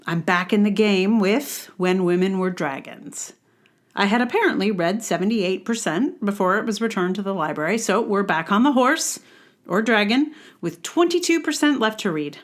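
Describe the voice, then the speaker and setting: A woman podcast host with a mid-pitched voice reads her own written review aloud. Her delivery is wry and sardonic, leaning on key words with exaggerated emphasis. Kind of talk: reading aloud a personal book-review blog.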